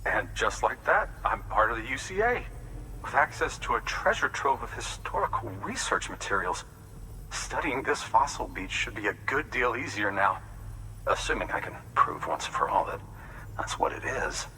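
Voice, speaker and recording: Anxious devoted scientist, lowish pitch, expressive and confiding, video game drama, distant noisy capture. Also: male voice, normal speed. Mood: excited. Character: anxious, grateful male fossil researcher devoted to his work. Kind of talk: video game cutscene acting.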